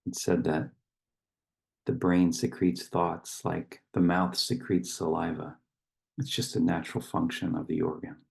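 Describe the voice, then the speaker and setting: A man speaking as a meditation guide and poetry reader, in slow, measured phrases with long pauses and a husky, gravelly voice. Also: deep voice, level tone.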